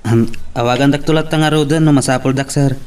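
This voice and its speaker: An actor with an Ilocano accent playing a humble, polite driver who speaks hesitantly and deferentially in a radio drama. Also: male voice, medium pitch, fairly even intonation, a little fast, a little mellow, smooth, distant mic, soft background music, lightly acted. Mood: meek. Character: humble male driver, shy, polite, earnest.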